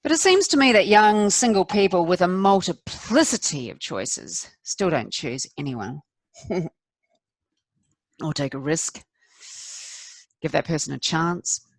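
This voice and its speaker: A middle-aged woman's slightly low voice, close and dry, an actress delivering a confessional theatrical monologue. She is a candid, rueful wife, wry and self-deprecating, and leans on deliberate pauses for emphasis. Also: dry recording. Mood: self-deprecating, reflective. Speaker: performer of a dramatic monologue.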